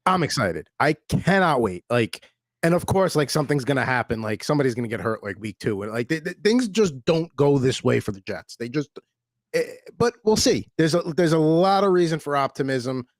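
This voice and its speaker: A man in casual sports chat as a fan on a talk show, earnest and measured, stumbling into restarts. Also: medium pitch, very animated, no echo, clean studio sound.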